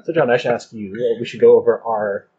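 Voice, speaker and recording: A man's voice: medium pitch, fairly even intonation, quick pace, mellow. A man hosting a podcast, asking questions and chatting casually. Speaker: podcast host. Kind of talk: casual interviewing. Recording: dull lo-fi audio, clearly noisy.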